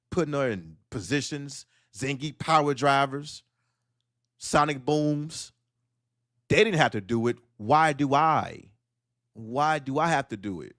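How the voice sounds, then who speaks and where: A man's voice: medium pitch, a little slow, no echo, clean studio sound. A man hosting a solo audio livestream, delivering a blunt, preachy monologue that fires off rhetorical questions. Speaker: livestream host.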